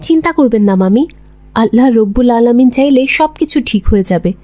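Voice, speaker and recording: A woman's voice: on the high side, animated, fairly quick, warm tone, lightly acted. A woman voicing a devout, patient orphan schoolgirl in a moral cartoon, earnest and preachy. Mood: reassuring. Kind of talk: Bengali moral cartoon voice acting.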